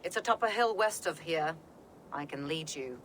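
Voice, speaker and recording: A grave pagan witch guiding a traveler in a game drama. Her voice is low and solemn, with deliberate, knowing phrasing. Hoofbeats and outdoor sounds are clear behind her. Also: lively intonation, fairly bright tone, slight background noise. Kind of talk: video game drama acting. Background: horse hooves and outdoor ambience.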